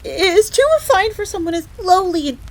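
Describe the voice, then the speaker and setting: A woman's voice: extremely high pitch, animated, moderate pace. A woman playing a jealous, easily flustered fox maid in a roleplay audio drama, haughty and indignant yet self-deprecating, prone to stammering. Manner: indignant, stammering when flustered, refers to herself in third person.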